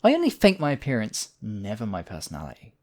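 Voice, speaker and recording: A man's voice: on the low side, wildly swooping pitch, fast, darkish tone. A man playing a smug, obsessive demon king in a theatrical audio roleplay, silky and possessive in his wooing. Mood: insistent, possessive. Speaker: voice actor. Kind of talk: theatrical roleplay monologue, ASMR audio drama.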